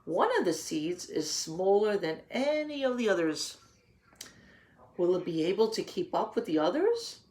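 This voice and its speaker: A woman reads a picture book aloud as a teacher would to young children. Her pace is slow and her pitch sits in a middle range. She pauses to explain things simply and patiently, posing questions as she goes. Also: sing-song, a little mellow.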